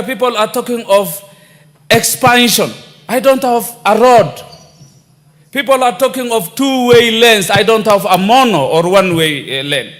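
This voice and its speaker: A man's voice: high-pitched, fairly bright tone. A man from northern Kenya with a Kenyan accent, giving an impassioned parliamentary speech and repeating rhetorical appeals to the Speaker. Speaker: member of parliament. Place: parliament chamber. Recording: long hall reverb of a chamber.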